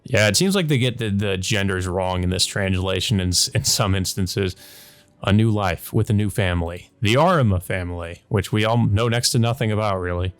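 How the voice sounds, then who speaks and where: A man's voice: lowish voice, moderate pace. A man doing a let's play, reading a visual novel's narration aloud. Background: background game music.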